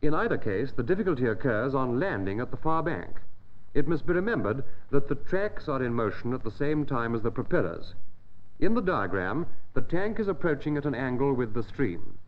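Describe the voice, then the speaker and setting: Formal narrator on a lo-fi 1940s film soundtrack. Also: male voice, mid-range voice, very animated. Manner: measured, precise, formal. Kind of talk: scripted instructional narration.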